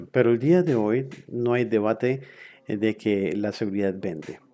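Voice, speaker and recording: Low, dark-voiced male interpreter. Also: measured pace, gravelly. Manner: hesitant, with frequent fillers and self-corrections. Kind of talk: simultaneous interpretation of a webinar lecture.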